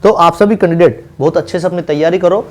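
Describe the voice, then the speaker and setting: Male coaching-channel teacher, slightly high voice, instructive informal explainer delivery with repeated key points. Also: fast. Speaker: exam-preparation teacher and channel host. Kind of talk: informal explainer lesson for exam candidates.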